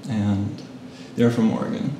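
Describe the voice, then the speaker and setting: A man in his late thirties from Japan, answering interview questions with soft, trailing, hesitant phrases. His voice has a gravelly fry. The recording sounds like a big echoey room with the mic some distance away and a steady hiss behind him. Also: lowish voice, measured pace. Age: late 30s. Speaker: guest photographer. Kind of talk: casual interview.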